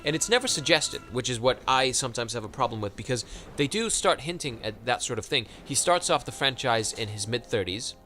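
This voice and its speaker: A man co-hosting a casual film podcast, talking at length with many pauses and fillers. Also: medium pitch, very animated, sharp tone, faint music behind. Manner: rambling, hesitant with many fillers. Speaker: podcast co-host. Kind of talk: casual chat about films.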